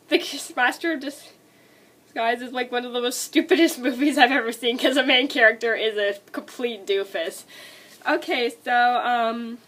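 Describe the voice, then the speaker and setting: Woman vlogger, sick and stuffy, bright higher voice, rambling casual chat full of restarts. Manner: rambling, many likes and restarts. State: sick, stuffy nose and scratchy throat. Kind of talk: casual movie list vlog.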